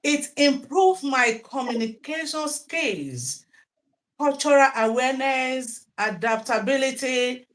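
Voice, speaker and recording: A woman's voice: high-pitched, lively intonation, slow. Talkative Nigerian woman, Yoruba native. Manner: talkative, repeats words, stops to restart. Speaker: interviewee, teacher. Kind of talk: interview answers.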